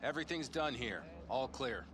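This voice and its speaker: An actor voicing a calm, loyal male nomad fighter in a video game, reassuring in tone. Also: mid-range voice, normal speed, noisy background, natural voice.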